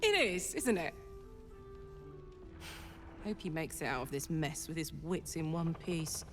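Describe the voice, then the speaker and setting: A woman's voice: sits low, lively intonation, unhurried. A woman playing a tough, big-hearted female fighter in a video game, casual and encouraging, with long reverb and music behind. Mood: fond, encouraging. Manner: casual, plain-spoken, encouraging.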